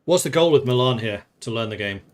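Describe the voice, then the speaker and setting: A man's voice: medium pitch, lively intonation, quick pace. A man from the Netherlands who grew up in England, streaming a strategy game and thinking aloud in casual commentary, chatting with viewers and laughing often.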